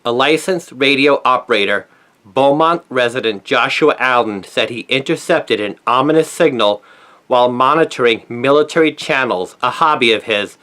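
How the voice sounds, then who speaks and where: Male narrator, mid-pitched voice, scripted news story, read-aloud delivery. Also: fairly bright tone.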